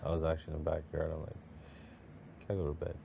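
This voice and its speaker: A man's voice: extremely low pitch, monotone, rapid-fire, vocal fry, muffled recording, a little hiss or hum. A man chatting casually, with a playful, teasing way of talking. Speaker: reality show contestant. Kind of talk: casual late-night banter.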